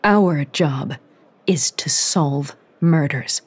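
A narrator voicing a composed female investigator who speaks gravely and deliberately. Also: medium pitch, close to an everyday voice.

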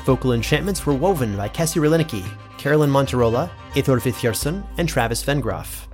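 A man acts as a fussy fantasy archivist who muses aloud in measured phrases. The voice is mid-pitched and male, with faint music underneath, as in a scripted audio drama. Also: animated, darkish tone, close to an everyday voice. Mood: businesslike. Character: fussy, musing male archivist keeping recordings in a fantasy world. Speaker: in-world recorder reading credits.

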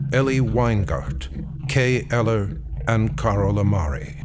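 A man's voice: on the low side, very noisy. A man reading scripted credits as a radio announcer. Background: music.

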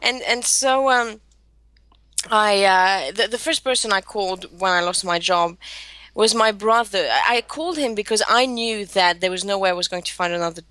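A woman's voice: mid-range voice, normal speed, sharp tone, clean tone, dry recording. A woman in her thirties who grew up in Greece, a guest telling her story in a chatty interview. She often restarts her sentences. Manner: chatty, many restarts and laughs. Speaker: guest, social media marketer.

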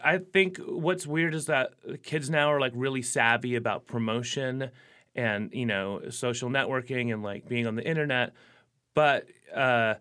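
A man's voice: mid-range voice, measured pace, sharp tone, clear, resonant tone. A man, a musician, talking casually in an interview, filling his sentences with "like" and "you know.". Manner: rambling, lots of "like" and "you know". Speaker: musician guest.

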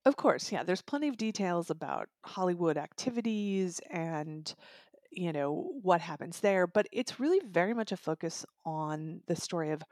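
A woman's voice: lowish voice, animated, moderate pace, darkish tone, no echo. Woman reviewing books, dry close sound. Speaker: audiobook reviewer and podcast guest. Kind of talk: conversational book review discussion.

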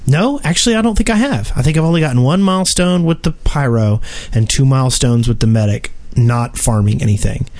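Male co-host, average pitch, casual talk, unscripted gaming podcast. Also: darkish tone.

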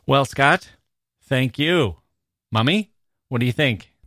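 A man's voice: medium pitch, animated, slow pace, a bit bright, dry recording, clean studio sound. A man hosting a podcast, reading copy and mail in a casual, playfully mock-serious way. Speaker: podcast host. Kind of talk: casual, scripted ad reads and listener email reading.

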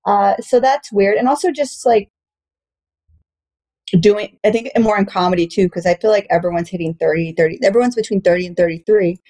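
A woman's voice: mid-range voice, brisk, warm tone. A woman in her late thirties chatting casually and candidly, with a frank, profane, self-joking way of talking. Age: late 30s. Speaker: comedian and podcast talker.

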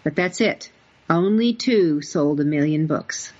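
A woman's voice: sits low, unhurried, a little mellow. A woman hosting a podcast interview in a conversational, emphatic, explanatory way. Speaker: podcast host. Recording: dull, lo-fi audio.

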